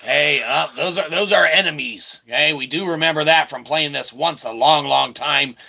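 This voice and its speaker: A man's voice: fairly high pitch, normal speed, vocal fry. A man giving casual video game commentary in a goofy way, with wordy catchphrases and mock-formal asides. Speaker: video game streamer, reviewer. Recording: very noisy, game sound behind.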